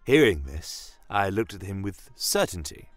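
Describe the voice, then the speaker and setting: A man acting Basim, a deep, grave fantasy commander. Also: sing-song, no room sound, faint music behind. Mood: solemn, earnest. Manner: grave, measured, storytelling. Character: Basim, solemn horned male army commander, defiant fantasy soldier. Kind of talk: dramatic first-person fantasy audio story.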